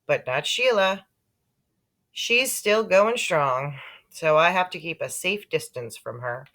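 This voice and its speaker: Bright, animated woman reading a children's story. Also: low voice, takes it slowly. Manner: animated, with character voices for dialogue. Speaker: audiobook-style reader. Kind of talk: expressive read-aloud of a children's story.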